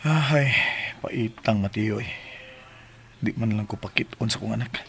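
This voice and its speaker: A man's voice: fairly low pitch, unhurried, gravelly, slight background noise. A man in a radio drama playing a lovelorn, dejected grown son protesting to his mother. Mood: anguished, resigned.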